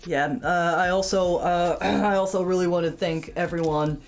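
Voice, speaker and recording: A woman's voice: on the low side, fairly even intonation, moderate pace, lightly acted. A woman voicing an awkward, flirty grim reaper puppet in a bawdy improvised show, hesitant, stammering and giggly.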